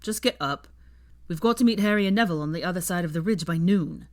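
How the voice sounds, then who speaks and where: A woman's voice: sits low, animated, slow, vocal fry, fairly bright tone, no room sound, full character voice. A brusque young man in training, voiced by a woman reader in an audio story. He is dutiful but impatient, speaking in curt, urgent bursts.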